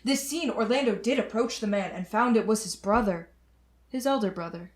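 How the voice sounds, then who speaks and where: A woman's voice: medium pitch, moderate pace, close to an everyday voice. Courtly repentant nobleman voiced by a woman, solemn dramatic storytelling, shakespeare radio play. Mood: suspenseful.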